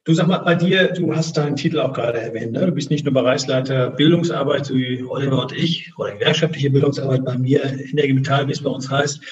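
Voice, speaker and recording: A man's voice: medium pitch, fairly even intonation, fast, few pauses. A man hosting a podcast and leading a casual, upbeat conversation with his guests. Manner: upbeat and inviting. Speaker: podcast host. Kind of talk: casual chat. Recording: dull lo-fi audio.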